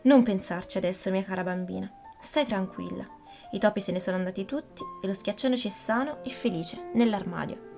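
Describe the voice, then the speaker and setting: A woman's voice: mid-range voice, a little fast, darkish tone, faint music behind, natural voice. A woman voicing a worried, firm mother who scolds and soothes her daughter, in a storybook reading. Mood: soothing.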